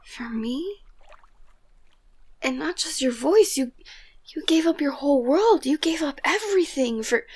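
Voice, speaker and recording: Woman acting a high-voiced, tender young fairytale princess. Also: a little mellow. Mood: moved, shaken. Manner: breathy, halting, often drops to whisper. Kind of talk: romantic ASMR roleplay acting.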